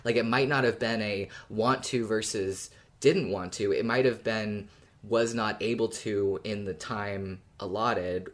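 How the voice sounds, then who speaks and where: A podcast panelist in casual discussion, explaining thoughts in long, winding sentences. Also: medium pitch.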